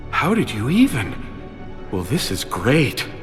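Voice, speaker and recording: A man playing an eager, bumbling, apologetic fan of a space commander in a video game, excitable and easily flustered. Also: medium pitch, takes it slowly, faint music behind, exaggerated voice. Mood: flustered, helpful.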